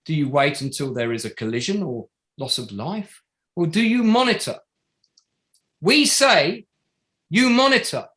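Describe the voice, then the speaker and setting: A man acting as an indignant male barrister in a stage drama, addressing an inquiry. His voice sits high for a man and swings widely in pitch. He speaks formally and deliberately, breaking his submissions into emphatic short phrases. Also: animated, pushed and bright. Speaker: actor in an online table read. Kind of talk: formal courtroom submission, play reading.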